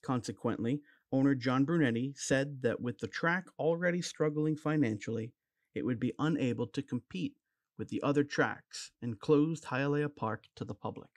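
A man reading a scripted documentary narration in an even, measured way. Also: mid-range voice, dry recording.

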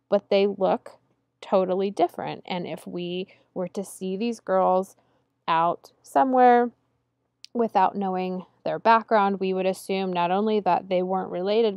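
Female lecturer for a recorded slide lesson, steady and explanatory, dry voice-over sound. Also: mid-range voice, dry recording. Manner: measured and explanatory, slowing to stress key terms. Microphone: close voice-over mic over slides.

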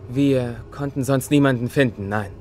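Ein Mann spielt einen jungen, ernsten und loyalen Jedi-Ritter in einem Zeichentrick-Hörspiel. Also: male voice, mid-range voice, normal speed, darkish tone, slight background noise, natural voice. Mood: concerned. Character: young male Jedi knight, serious and loyal. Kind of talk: German animated-series audio drama dubbing.

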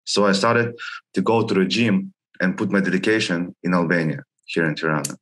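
A man's voice: sits low, fairly even intonation, a little fast, fairly bright tone. A young man from Russia and China, a guest on a video call, speaking casually with frequent "like" and loose non-native phrasing. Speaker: guest, gym member. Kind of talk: casual interview chat. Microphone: headset on video call.

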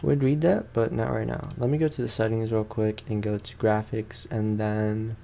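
A man's voice: lowish voice, little pitch movement, moderate pace, creaky, warm tone. A young man, sounding tired, gives casual let's play commentary as a gaming host, rambling along in slangy, informal speech.

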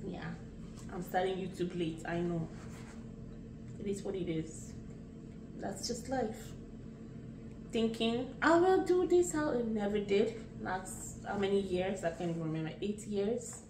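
A woman's voice: mid-range voice, reverberant, far from the mic, background noise. A woman in her late thirties from Nigeria with a Nigerian accent, chatting casually and rambling a little as she answers questions about herself. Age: late 30s. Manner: unhurried, chatty, rambling with restarts. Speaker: vlogger. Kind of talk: casual get-to-know-me vlog.

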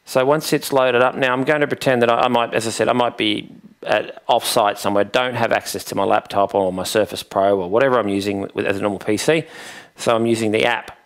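A man in his forties with a creaky voice, giving a matter-of-fact, conversational instructional demonstration on a headset mic. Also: fairly low pitch, steady tone, a little fast. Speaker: presenter of a software tutorial.

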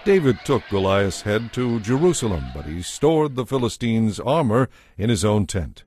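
A man narrating a dramatized scripture story in a measured storytelling manner. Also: on the low side, sing-song, darkish tone, faint music behind.

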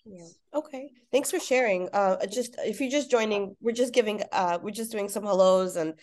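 A woman's voice: medium pitch, normal speed, a little mellow. Thirties woman, congested, friendly explainer, video-call audio. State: congested from a cold. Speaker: pediatric practitioner hosting a group call. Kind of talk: casual group video call. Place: home room with window.

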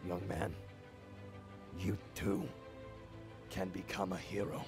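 A man playing a gruff, aging superhero mentor in a comedic anime parody, swinging between grand theatrical speech and blunt exasperated asides. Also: sits low, level tone, takes it slowly, music in the background. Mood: solemn, blunt.

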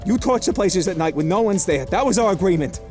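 A curt, uneasy male criminal boss in a suit-and-office world, acted by a man, with a slightly high voice. He sounds firm in this audio drama, over light music. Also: expressive, a little fast, darkish tone. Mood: stern. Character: tense, uneasy male criminal businessman hiring an arsonist.

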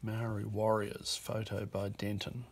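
A man presenting an auction lot, reading postcard captions aloud in short, unhurried bursts at a desk. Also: fairly low pitch, completely flat delivery, a little hiss or hum. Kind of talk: reading out postcards while browsing. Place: indoor office desk.

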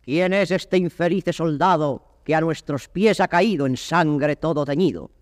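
A man's voice: fairly high pitch, a little slow, clean studio sound, close to an everyday voice. An actor playing a defeated old king, dignified and lamenting, declaiming classical verse theatrically in an audio drama. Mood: anguished lament. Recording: long hall-like reverb.

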